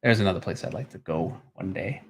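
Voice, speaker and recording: A man's voice: deep voice, fairly quick, a little hiss or hum. A man hosting a drawing live stream, chatting casually and easily, often trailing off mid-thought. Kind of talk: casual unscripted chat.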